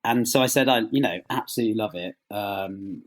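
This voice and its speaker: A man's voice: lowish voice, fairly quick. A man speaking conversationally as an interview guest, modest and self-effacing. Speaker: interview guest, audio drama writer.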